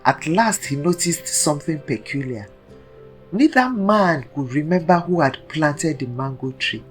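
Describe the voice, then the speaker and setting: A woman narrates a folktale as a storyteller, slowly and with dramatic care. Her delivery is scripted and deliberate, set over faint music. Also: sits low, animated.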